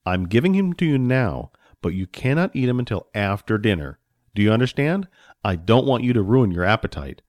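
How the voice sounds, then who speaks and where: A man's voice: low voice, sing-song, moderate pace, no echo, clean studio sound, voice pushed down. A man voicing a mild but stern father in a story, speaking firmly and plainly. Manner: firm, plain-spoken. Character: mild suburban father, indulgent yet stern with his son.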